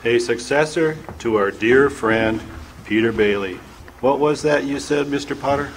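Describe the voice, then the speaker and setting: An actor playing a formal, courteous older board director in a radio play. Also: male voice, medium pitch, a little slow, a little hiss or hum, lightly acted, rough recording.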